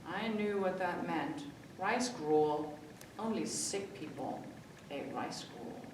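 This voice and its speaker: A woman's voice: lively intonation, slow pace. A woman reading aloud to children as a library story-time reader, expressively acting out the dialogue. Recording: echoey room, distant mic, faint rumbly noise.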